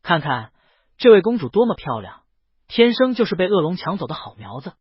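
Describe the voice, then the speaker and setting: Man as a husky, patronizing palace courtier. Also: high voice, big pitch swings, fairly quick, no echo, highs cut off, exaggerated voice. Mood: gushing. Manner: coaxing, condescending. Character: patronizing, fawning palace adults advising a princess.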